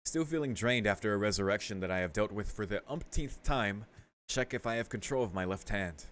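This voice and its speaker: A man with a fairly low voice reads visual novel text aloud for a let's play. He drifts between narration and casual, sweary asides, muttering his reactions as he goes. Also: expressive, brisk, fairly bright tone, a little hiss or hum. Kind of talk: casual gaming commentary mixed with read-aloud narration.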